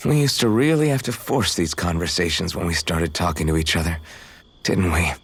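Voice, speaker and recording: Husky, earnest young swordsman. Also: low voice, normal speed, full character voice. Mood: gentle, curious. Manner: earnest, gentle, awkward when flustered. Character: earnest, easily flustered young male swordsman adventurer. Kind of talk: video game cutscene acting.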